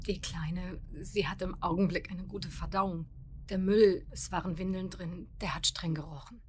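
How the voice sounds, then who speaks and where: A woman's voice: lowish voice, steady tone, moderate pace, slight background noise, lightly acted. A woman acting an insistent, urgent female character in a radio play. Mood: anxious, protesting.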